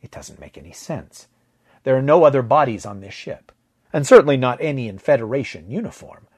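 A man narrating a science fiction story in the first person, with a measured, dramatic delivery. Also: mid-range voice, animated, slightly rough, no room sound.

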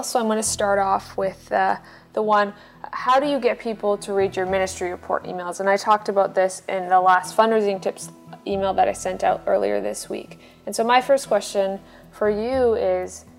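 A woman's voice: medium pitch, vocal fry. A woman speaking as a podcast guest, explaining practical tips in an informal way. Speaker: podcast guest giving advice.

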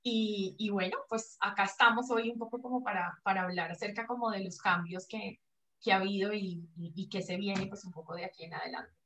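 A woman's voice: mid-range voice, steady tone, a little fast, fairly bright tone, poor audio. A woman from Colombia being interviewed over a video call, explaining in a hesitant way with many fillers and restarts. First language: Spanish. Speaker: pelvic floor physiotherapist, guest. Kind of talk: online interview.

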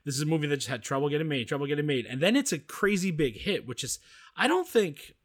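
A man's voice: fairly high pitch, lively intonation, quick pace. A man co-hosting a podcast, talking casually about films and often searching for his words. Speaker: podcast co-host. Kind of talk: casual film discussion.

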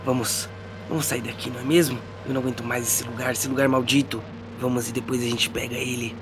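A man acts out an evil talking blade from a fantasy role-playing drama. It is conspiratorial and bossy, pressing its bearer with urgent coaxing. The pitch sits in a middle male range, with clear music behind it. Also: flat delivery, quick pace, lightly acted. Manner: conspiratorial, commanding, insistent.

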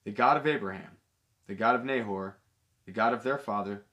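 A stubborn older father-in-law lays claim to everything around him, voiced by a man reading aloud. The voice sits fairly low and sounds insistent but plain. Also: normal speed, a bit bright, close to an everyday voice.

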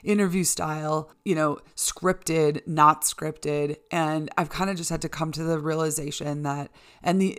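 American woman, kinda low voice, solo podcast rambling, candid and casual, swears a bit. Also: clear, resonant tone. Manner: candid, informal, mildly sweary. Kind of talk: casual conversational monologue to listeners.

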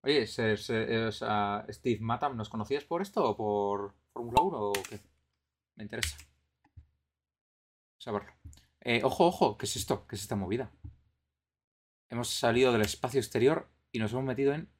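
Male streamer host, Madrid accent, mid-pitched, casual and bemused game commentary. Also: normal speed, pauses often. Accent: Madrid Spanish. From Madrid area, Spain.

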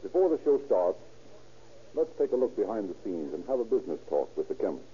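A man's voice: fairly low pitch, big pitch swings, brisk, dark tone, husky. An American man announcing from a script as host of a 1930s radio programme. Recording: muffled, lo-fi 1930s radio recording.

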